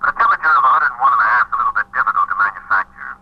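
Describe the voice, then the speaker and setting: An actor playing a plain-spoken, matter-of-fact police surgeon on the telephone in a 1950s radio drama. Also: male voice, medium pitch, lively intonation, very fast. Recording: muffled, husky-sounding 1950s radio recording.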